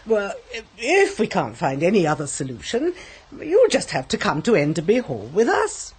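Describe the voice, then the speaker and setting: A woman's voice: medium pitch, huge pitch swings, moderate pace, breathy edge, exaggerated voice. An actress playing a sensible, bluff married woman with a British accent in a radio mystery. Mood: sympathetic, resigned. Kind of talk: radio mystery drama acting.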